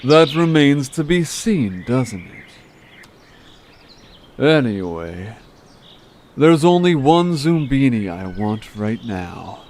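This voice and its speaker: A man's voice: medium pitch, slow, long pauses. A man voicing a manipulative, vengeful monster gang leader in an audio drama, with a sarcastic, condescending drawl. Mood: scheming, dismissive. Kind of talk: scripted audio drama acting. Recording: steady background noise with chirping birds. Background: birdsong, forest ambience.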